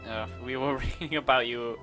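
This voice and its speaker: A woman's voice: extremely low pitch, fairly even intonation, brisk, music in the background. A woman giving casual gameplay commentary as a streamer, playful and joking. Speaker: gaming streamer.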